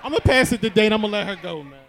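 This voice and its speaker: A man's voice: high voice, lively intonation, racing, fairly bright tone. A man co-hosting a live podcast, brashly and profanely lecturing about dating. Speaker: podcast co-host. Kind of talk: live podcast talk before an audience.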